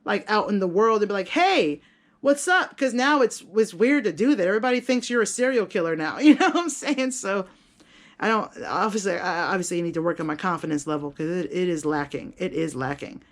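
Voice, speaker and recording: A woman's voice: medium pitch, expressive, fairly quick. A woman chatting casually to her viewers as a vlogger, candid, self-deprecating and rambling, with slangy language and casual swearing. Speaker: video blogger and content creator. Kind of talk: casual vlog chat to viewers.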